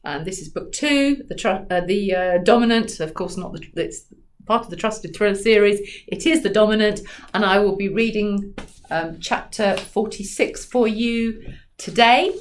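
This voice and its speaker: A woman author reading in an expressive, dramatic storytelling style with deliberate delivery. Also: medium pitch. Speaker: author reading from own book.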